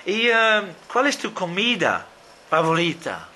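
Man, slow deliberate questions, lively pitch, faint hiss behind. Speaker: interviewer. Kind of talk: language-practice interview.

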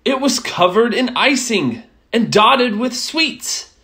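Female storyteller for rhyming picture book: highish voice, very animated melody, measured and expressive phrasing. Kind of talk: rhyming children's picture book read-aloud.

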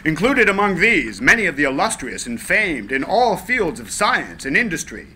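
An actor doing a grand newsreel announcer. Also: male voice, fairly high pitch, big pitch swings, normal speed, sharp tone, husky, full character voice. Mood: stirring. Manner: stirring announcer delivery. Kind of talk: staged script reading.

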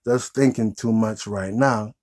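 Husky-voiced man hosting. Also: fairly low pitch, fairly even intonation, a little slow, a little mellow. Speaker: puppet show host. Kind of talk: casual improvised chat with a puppet. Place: small indoor room with green backdrop.

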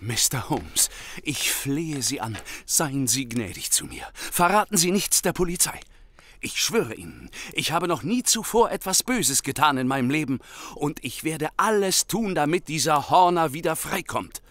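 An actor playing an anxious, cowardly young hotel manager turned thief in a radio drama, agitated and pleading. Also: male voice, on the high side, very animated, normal speed, a bit bright. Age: young adult.

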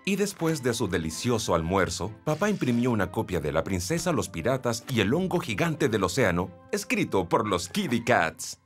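A man's voice: on the low side, sing-song, normal speed, hardly any pauses. A man with a Latin American Spanish accent narrating a children's story from a script, over music. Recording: music behind the voice.

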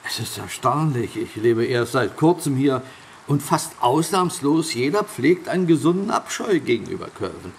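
An actor playing a polite, curious gentleman newcomer in a German audio drama, speaking in an articulate, courteous way. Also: mid-range voice, sing-song, normal speed, slight background noise.

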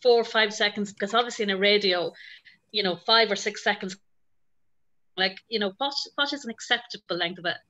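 Bright-voiced Irish woman asking questions. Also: mid-range voice, brisk, lo-fi sound. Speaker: workshop participant. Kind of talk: casual questions on a video call.

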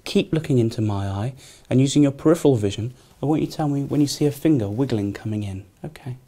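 A man's voice: medium pitch, fast talker, dark tone. A young man with a British accent, a doctor giving courteous exam instructions in a small consulting room. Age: young adult. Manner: courteous, frequently thanking. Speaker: doctor, neurology registrar. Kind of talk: polite clinical exam instructions. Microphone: camera across the room.

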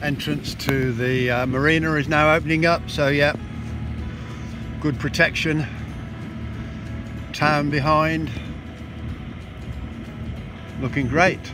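A man casually narrating his boat's arrival at a marina, relaxed and full of ums and uhs. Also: a little high, unhurried, pauses often, over music. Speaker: sailing vlogger. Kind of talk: casual narration over footage.

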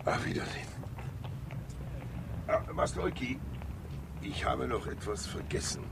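An actor playing a fat, wily, talkative older state councillor in a 1960s radio crime drama, jovial and theatrical, rambling with asides and pauses. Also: male voice, mid-range voice, fairly even intonation, gravelly. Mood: genial, wry. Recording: hissy 1960s radio recording.

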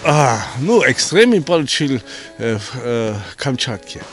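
Мужчина с немецким акцентом. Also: male voice, mid-range voice, big pitch swings, a bit bright, faint music behind. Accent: German. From Germany. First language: German. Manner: halting, simple phrasing with small grammar slips. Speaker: guest hiker. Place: snowy forest outdoors.

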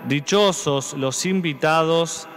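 A man in his thirties with an Argentine accent, a priest slowly reciting mass prayers at the altar. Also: a little high, over music. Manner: slow solemn recitation. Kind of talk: liturgical prayer. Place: large church. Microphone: stand mic at altar. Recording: long church reverb.

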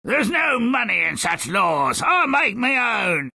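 A man voicing a brutish, mean, lawless father in a fairy-tale video game, with a harsh gravelly voice. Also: very high voice, sing-song, slow pace, bright, forceful tone, no room sound, heavily acted. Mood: angry, menacing. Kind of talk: video game character acting.

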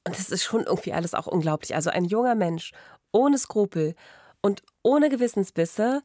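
A woman's voice: mid-range voice, lively intonation, normal speed, dark tone, no echo. A woman in her thirties hosting a true-crime podcast conversationally at a studio microphone. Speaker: podcast host. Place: small radio studio. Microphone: studio microphone with pop filter, headphones.

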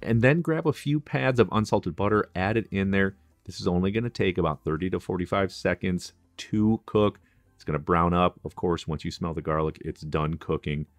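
Deep-voiced chef giving cooking steps. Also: male voice, moderate pace, dry recording, soft background music. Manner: instructive, friendly, practical kitchen tips. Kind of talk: cooking tutorial voice-over.

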